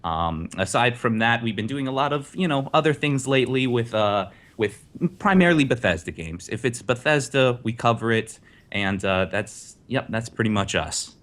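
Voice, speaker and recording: A man's voice: mid-range voice, animated, normal speed, fairly bright tone. A man introducing himself on a podcast, hesitant, with many fillers and restarts. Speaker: podcast guest, lore video creator. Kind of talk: casual podcast chat.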